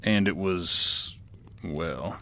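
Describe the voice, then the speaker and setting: A man's voice: deep voice, extremely slow, bright, forceful tone, full character voice. An actor playing a weary gunslinger who narrates his tale in a dry, laconic drawl. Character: weary male gunslinger, laconic and world-worn. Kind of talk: first-person narration.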